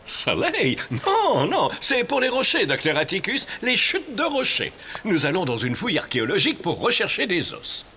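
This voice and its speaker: Stubborn cartoon animal doctor, swoops all over, bright and forceful. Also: male voice, high voice, wildly swooping pitch, normal speed, a little hiss or hum, exaggerated voice. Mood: adamant. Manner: emphatic, contrary. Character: excitable, stubborn male animal doctor, bone specialist. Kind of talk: children's cartoon dubbing in French.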